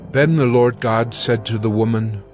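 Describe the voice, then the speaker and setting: A man narrating scripture in a slow, steady, deliberate reading. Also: medium pitch. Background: music.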